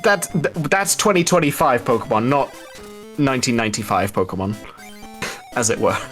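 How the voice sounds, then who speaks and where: A man's voice: medium pitch, big pitch swings, moderate pace, a bit bright, faint music behind. A man commentating a live gaming race, explaining things hesitantly with dry, understated humour.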